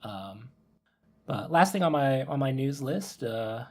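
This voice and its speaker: Husky-voiced man hosting a casual podcast. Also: mid-range voice, lively intonation, slow, darkish tone. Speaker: podcast host. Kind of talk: casual podcast news rundown.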